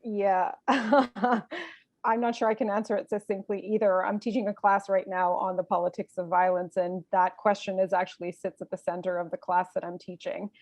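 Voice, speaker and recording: A woman professor answers at length in a fairly even, level tone, circling through her points with steady hesitations. Her voice is close and dry, with no room sound. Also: medium pitch, normal speed, no echo. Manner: earnest, expansive, filler-laden. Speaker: panelist, professor. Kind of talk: academic panel answer.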